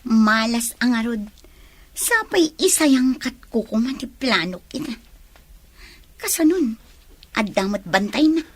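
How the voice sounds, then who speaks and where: A woman's voice: fairly high pitch, expressive, takes it slowly, pauses often, husky, no room sound, exaggerated voice. A woman playing a pushy, scheming young rival in love in a radio drama, with a suspicious, needling manner. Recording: faint noisy ambience behind.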